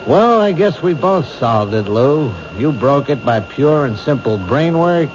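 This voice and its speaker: An actor playing a brisk, commanding male police captain in a 1970s radio drama. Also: medium pitch, animated, lightly acted. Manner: brisk, orders given crisply. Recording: dull old radio broadcast with hiss.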